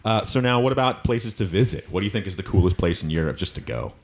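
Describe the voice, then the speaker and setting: Brief: male co-host, fairly low voice, animated and jokey. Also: quick pace. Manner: jokey, playful interviewer. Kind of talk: casual podcast chat.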